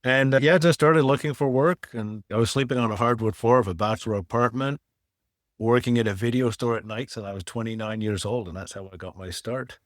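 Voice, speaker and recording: A man's voice: medium pitch, brisk, dry recording, clean studio sound. A middle-aged man raised in Canada, a former fund manager and author, talking plainly as a podcast guest. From born in Wales, raised in Canada. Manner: plain-spoken, explains step by step.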